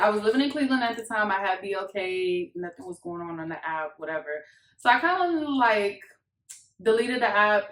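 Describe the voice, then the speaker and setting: A woman's voice: medium pitch, fairly bright tone. Young American woman in an echoey room. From Miami, Florida, United States. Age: 20s. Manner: informal, storytelling, slangy. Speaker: YouTube couple vlogger. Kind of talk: casual chat to camera.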